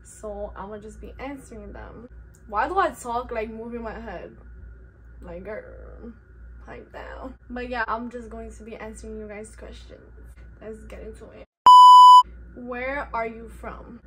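Teen girl, New York accent, Spanish first language, casual vlog chat, fairly high voice, bedroom, light background noise. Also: measured pace, low-quality recording. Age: teens. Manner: laid-back, slangy, self-teasing. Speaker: YouTuber. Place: bedroom, indoors.

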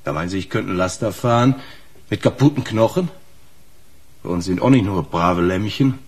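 Husky, slangy trucker. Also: male voice, on the low side, moderate pace. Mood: sour, grumbling. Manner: colloquial, blunt, slangy. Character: gruff male truck driver, slangy, wary informant. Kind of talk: naturalistic German radio crime drama.

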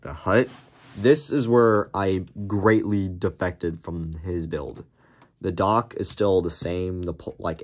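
A young man with a deep, cracked, slightly hoarse voice, rambling casually with lots of fillers while showing off a toy build. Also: slight background noise. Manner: rambling, many fillers and restarts. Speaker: Lego YouTuber. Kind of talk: casual show-and-tell of a build. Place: small room indoors at a table. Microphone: camera filming hands over a desk.

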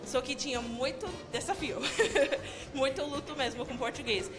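A young American woman, a native English speaker, answering interview questions with a friendly laugh and halting learner's phrasing, speaking into a handheld mic on an indoor studio set. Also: high voice, steady tone, over music. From United States. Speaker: guest youtuber. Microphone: handheld mic held by interviewer.

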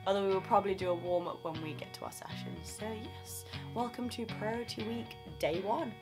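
A young woman talks casually to a handheld camera in a small student flat, her voice a little croaky. She is upbeat and laughs often, and music plays clearly under her voice. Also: mid-range voice, brisk. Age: 20s. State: slightly croaky voice. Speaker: drama school student vlogger. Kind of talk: casual vlog chat. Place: small student flat bedroom and kitchen, indoors. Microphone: camera mic at arm's length.

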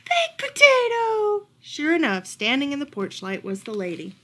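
A woman reading a children's picture book aloud at home in an animated storytelling style. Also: medium pitch, brisk. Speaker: librarian reading bedtime stories. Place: furnished room at home, indoors.

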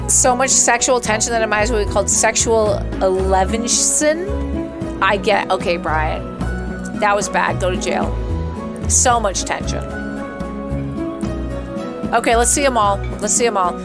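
Playful woman streamer over loud music. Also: medium pitch, unhurried, pauses often. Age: young adult. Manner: playful, crude, expressive. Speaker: game streamer. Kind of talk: livestream playthrough, reading dialogue aloud and reacting. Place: small home room, indoors. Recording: music behind the voice. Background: game background music.

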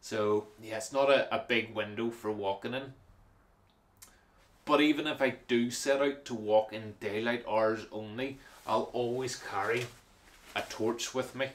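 A man's voice: medium pitch, a little slow. A man casually walking through his winter hiking kit, explaining each item with plenty of fillers and repeated words. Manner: relaxed, practical, frequent fillers and repeated words. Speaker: hiking vlogger. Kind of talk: casual gear walkthrough.

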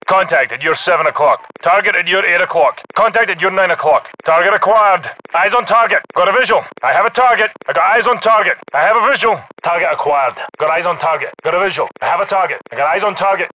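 A man voicing a gruff, urgent special forces soldier in a military shooter game, giving clipped, terse combat callouts. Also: sits high, pushed and bright, slight background noise, rough recording. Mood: low, controlled. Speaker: video game voice actor.

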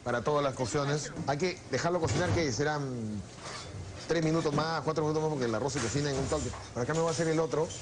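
A middle-aged Peruvian man, a chef, calmly explaining his recipes in a restaurant kitchen and recalling home cooking. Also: a little high. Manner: unhurried, repeats words while working at the stove. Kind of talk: explaining recipes while cooking, then reminiscing. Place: restaurant kitchen, then dining room. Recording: noisy restaurant recording.

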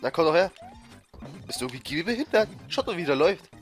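A man with a cold giving excitable, frustrated commentary over a platform game he is playing. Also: on the high side, quick pace. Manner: frustrated, excitable, swears and laughs. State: has a cold, coughing. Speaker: let's player. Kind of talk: gameplay commentary. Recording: faint game music behind. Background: game music.

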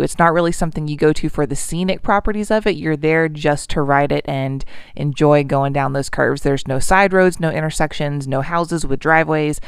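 A woman narrating her motorcycle ride video in a relaxed, explanatory voice-over, with frequent ums. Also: low voice, clear, resonant tone, dry recording, clean studio sound. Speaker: motovlogger.

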